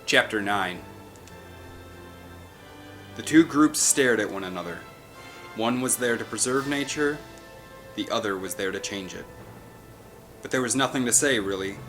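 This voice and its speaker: A man's voice: lowish voice, moderate pace, pauses often, fairly bright tone. Male storyteller over prominent music. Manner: theatrical, suspenseful delivery. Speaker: audiobook narrator. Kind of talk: dramatic scripted storytelling. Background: orchestral film soundtrack music.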